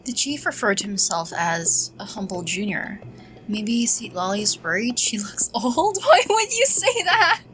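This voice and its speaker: A woman's voice: mid-range voice, very animated, measured pace, fairly bright tone, rough recording. A woman doing casual gameplay commentary and reading dialogue aloud, playful and reactive. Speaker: gamer doing a playthrough. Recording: game music behind the voice.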